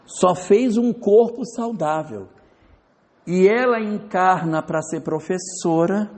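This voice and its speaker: A man giving a spiritist lecture in a lecture hall, telling stories in a lively way and acting out the dialogue. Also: high-pitched, takes it slowly. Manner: storytelling, lively, acts out dialogue. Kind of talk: religious lecture. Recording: reverberant hall.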